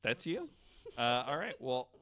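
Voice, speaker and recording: Bright-voiced man in profane podcast banter. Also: medium pitch, sing-song, measured pace. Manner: profane, joking. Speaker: podcast host. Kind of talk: casual comedic chat.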